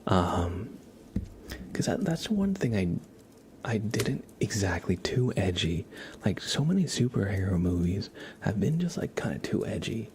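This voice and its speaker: A man doing casual ASMR live-stream chat in a soft, whispery, hushed voice, rambling informally. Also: fairly low pitch, very animated, measured pace, slight background noise.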